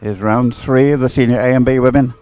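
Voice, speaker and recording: A man's voice: medium pitch, fairly even intonation, brisk, dark tone, smooth. A man doing live sports commentary and off-the-cuff chat in a hesitant, rambling way, often repeating words.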